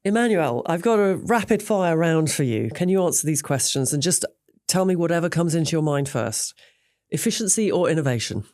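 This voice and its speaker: Woman host asking brisk questions. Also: low-pitched, expressive, darkish tone, dry recording, studio quality. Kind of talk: interviewer.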